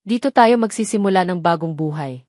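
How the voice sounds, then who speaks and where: A woman's voice: on the low side, lively intonation, brisk, darkish tone, no echo, studio quality, close to an everyday voice. A woman voicing a hurt but resolute young wife and mother in dramatic story dialogue, speaking earnestly. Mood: tender, determined.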